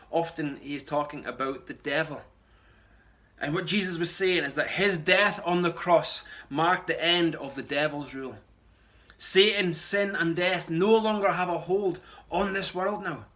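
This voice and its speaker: A man in his thirties preaches a short address from a room at home. His voice sits a little high for a man. He is earnest and emphatic, repeating key phrases for weight. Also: sharp tone. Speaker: pastor. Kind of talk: short sermon address to camera. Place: small room at home, indoors.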